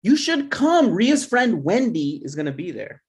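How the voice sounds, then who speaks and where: Insecure, defensive man in his twenties played by an actor, very animated delivery, comedy table read. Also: high-pitched, a little slow, exaggerated voice. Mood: earnest. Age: young adult. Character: insecure, defensive twenty-something boyfriend and son.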